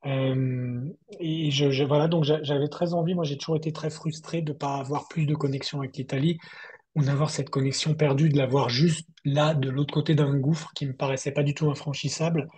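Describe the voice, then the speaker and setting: Guy novelist on an online literary chat, slightly husky, thoughtful, keeps restarting as he thinks. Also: on the high side, steady tone, a little mellow. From southern France. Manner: thoughtful, halting delivery with repeated word starts. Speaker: novelist being interviewed. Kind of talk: reflective online literary conversation. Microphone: online video call.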